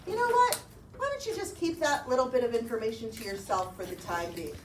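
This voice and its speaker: A woman plays a kindly, principled storybook uncle, plain and reassuring. The voice is high and expressive, heard in an echoey room. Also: brisk, lightly acted. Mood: advising. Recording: roomy, distant mic, faint noise.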